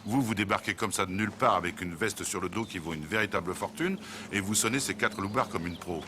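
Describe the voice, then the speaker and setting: A man's voice: lowish voice, fairly quick, background noise, close to an everyday voice. A man dubbing a skeptical, brash city police inspector in a French film, speaking sarcastically. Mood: brash, sarcastic.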